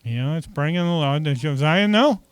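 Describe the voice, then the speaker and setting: A man's voice: on the high side, lively intonation, moderate pace, clean tone, no echo. A man preaching a conversational sermon as pastor, often asking the congregation questions and saying "okay" between points.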